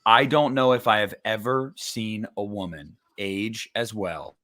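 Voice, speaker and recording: A man's voice: fairly low pitch, unhurried, fairly bright tone. A man co-hosting a podcast in casual chat, rambling and swearing casually with many fillers. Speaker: podcast co-host.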